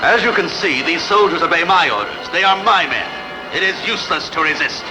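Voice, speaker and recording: An actor playing an ambitious, scheming rebel usurper in a dubbed historical epic, with a commanding, menacing, declamatory delivery. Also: male voice, a little high, lively intonation, a little fast, music in the background, close to an everyday voice, low-quality recording. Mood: triumphant, menacing. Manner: declamatory, imperious.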